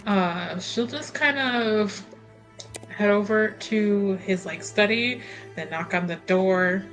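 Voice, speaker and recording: A woman's voice: mid-range voice, slow pace, fairly bright tone, faint music behind. A woman who is a player in a tabletop game, chatting casually and improvising narration.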